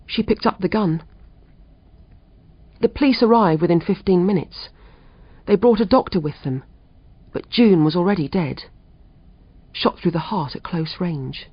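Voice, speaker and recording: A woman's voice: fairly low pitch, unhurried, long pauses, husky, no echo. A woman reading a suspense story as an audiobook narrator in a scripted narration style. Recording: dull, dry audiobook recording.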